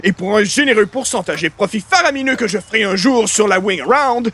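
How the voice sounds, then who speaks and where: An actor playing an excitable, grandiloquent male huckster with pompous, florid sales patter in a comic radio play. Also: high-pitched, brisk, slight background noise. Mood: wheedling. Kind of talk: comic radio play acting in old-time sitcom format.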